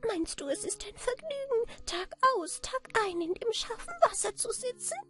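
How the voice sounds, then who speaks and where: A tiny, cranky old fairy-tale man, acted out by a woman storyteller, with an extremely high, thin, squeaky voice. He is greedy, brusque and bossy, piping out his demands impatiently. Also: expressive, normal speed. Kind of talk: children's fairy tale reading.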